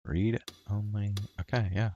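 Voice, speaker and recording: A man's voice: fairly low pitch, fairly even intonation, a little slow, mellow, highs cut off, a little hiss or hum. A man giving casual game commentary as a streamer, muttering hesitantly to himself.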